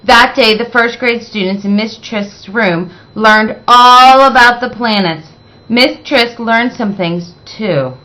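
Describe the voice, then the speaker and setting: Female picture-book narrator, slow and deliberate, bright tone, expressive with dramatic emphasis. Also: fairly high pitch, rough recording. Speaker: read-aloud storyteller.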